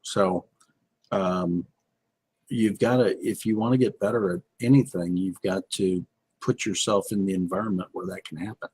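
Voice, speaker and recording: A man co-hosting a casual business chat with a husky, rough-edged voice, piecing his sentences together haltingly. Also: sits low, fairly even intonation, darkish tone. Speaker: co-host and business speaker.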